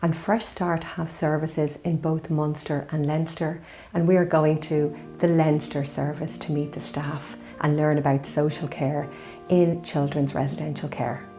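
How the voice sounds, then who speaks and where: A woman's voice: deep voice, flat delivery, measured pace, dark tone, soft background music. An Irish woman presenting a documentary with a scripted introduction.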